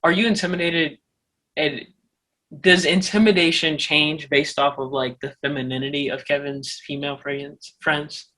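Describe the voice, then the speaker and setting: A woman co-hosts a casual podcast. Her voice is low enough that people often take it for a man's, but its tone is bright and lively. She talks hesitantly and restarts her sentences often. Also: normal speed. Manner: hesitant, many restarts and fillers. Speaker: podcast co-host.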